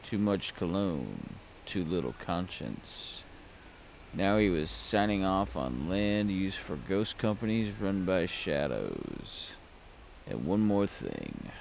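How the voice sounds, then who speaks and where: A man playing a brooding, world-weary private detective, narrating a noir audio drama in terse, deadpan, wry lines with a gravelly voice. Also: low-pitched, slow, slight background noise, exaggerated voice. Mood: grim, reflective.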